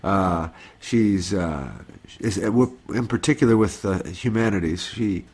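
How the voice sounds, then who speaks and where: A man's voice: sits low, a little slow, darkish tone, breathy edge. Male host with a head cold. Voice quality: slightly nasal. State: has a cold. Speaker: podcast host. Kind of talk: interviewing a guest.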